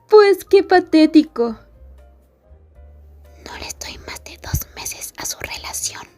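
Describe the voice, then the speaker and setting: Woman acting a haughty, high-voiced, drawling bully girl. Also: sing-song, very slow, pauses often, in a whisper, soft background music, exaggerated voice. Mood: scornful. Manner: taunting, drawling, giggly sarcasm. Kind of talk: Spanish-language ASMR roleplay acting.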